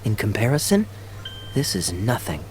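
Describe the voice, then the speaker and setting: A man voicing a suave young captain in a video game, affectionate and courteous, with a gently theatrical manner. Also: on the low side, wildly swooping pitch, normal speed, a little hiss or hum. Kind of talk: video game character acting. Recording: background music under the voice.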